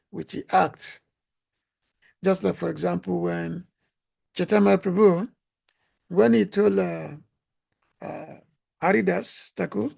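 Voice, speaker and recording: A man with a high, hoarse voice, gravelly and rough as he loses it, singing a devotional song and then preaching. He speaks halting, full of pauses and restarts. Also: darkish tone. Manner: halting, many fillers and restarts. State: losing his voice. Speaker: speaker giving a religious talk.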